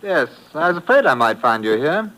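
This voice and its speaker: A suave blackmailer in a vintage radio drama. He talks with polite, mocking menace, and his pitch swings in a lively way. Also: brisk, rough recording. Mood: smooth menace. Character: suave male blackmailer posing as book collector. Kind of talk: 1950s radio drama acting.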